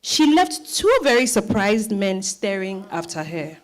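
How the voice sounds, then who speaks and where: A woman's voice: medium pitch, big pitch swings, measured pace, a little hiss or hum. A woman with a Ghanaian accent reading scripted narration as the narrator of a dramatised stage reading.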